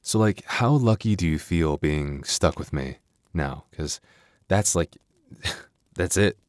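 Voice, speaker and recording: A man playing a playful, devoted, soft-hearted young werewolf boyfriend in an intimate ASMR roleplay, teasing and conversational, halting when flustered. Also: low voice, lively intonation, dry recording, studio quality.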